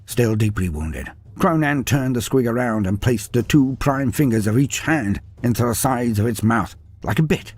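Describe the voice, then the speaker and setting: A man's voice: mid-range voice, animated, normal speed, few pauses, darkish tone, slightly rough. A man reading a fantasy story aloud with a dramatic delivery, his voice rising and falling through the telling. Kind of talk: scripted fantasy story narration.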